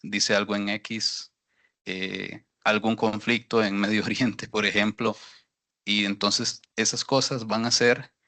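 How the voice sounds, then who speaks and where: A man's voice: lowish voice, little pitch movement, slow pace, pushed and bright. A man from Costa Rica asking a question at a workshop, hesitant and searching for words. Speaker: workshop participant. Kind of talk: audience question.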